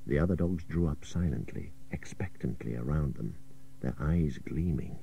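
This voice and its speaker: A man's voice: very deep voice, lively intonation, moderate pace, creaky, slight background noise. A man reading an adventure story as a dramatic narrator, with a suspenseful, theatrical delivery. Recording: dull old radio cassette.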